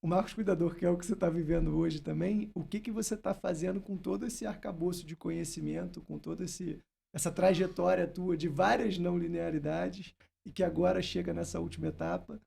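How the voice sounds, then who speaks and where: A man's voice: high-pitched, steady tone, a little fast, creaky, hardly any pauses, warm tone, slightly rough. A Brazilian man hosting a podcast, telling stories and putting questions in a casual, reflective way. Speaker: podcast host. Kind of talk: casual conversational interview.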